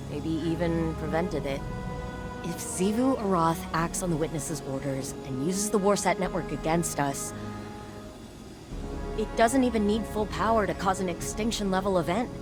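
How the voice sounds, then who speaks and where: A woman's voice: lowish voice, measured pace, long pauses, music in the background, close to an everyday voice. An actress playing a wry, upbeat young woman engineer who chats casually and cheers herself on. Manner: wry, chatty, self-encouraging. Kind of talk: video game cutscene dialogue.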